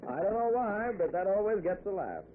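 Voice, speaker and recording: Through a tinny, faintly noisy old radio recording comes a jovial American traveling salesman. His pitch swings freely as he chats in a folksy, hesitant way, in the manner of 1940s radio drama. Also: big pitch swings, brisk. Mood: jovial, chatty.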